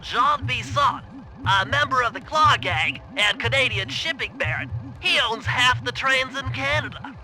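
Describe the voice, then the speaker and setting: A man's voice: extremely high pitch, measured pace, sharp tone, faint music behind, exaggerated voice, rough recording. A man voicing a nerdy, cautious turtle mastermind in a cartoon game, with a nasal voice and precise, wordy, earnest briefings. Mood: intent, observant. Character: nerdy, cautious male turtle mastermind, planning heists over radio.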